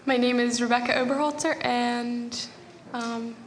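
A young woman from Pennsylvania and Tanzania giving a hesitant testimony at a Bible school, with many filler pauses. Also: on the high side, fairly even intonation, slight background noise. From Pennsylvania, USA and Tanzania. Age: young adult. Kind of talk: spoken testimony. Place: church hall. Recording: echoey hall with long reverb.

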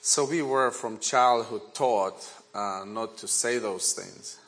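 Slow-speaking man with a Ukrainian accent. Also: lowish voice, a bit bright, poor audio. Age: 40s. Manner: earnest, measured storytelling. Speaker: guest preacher. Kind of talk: sermon with personal testimony.

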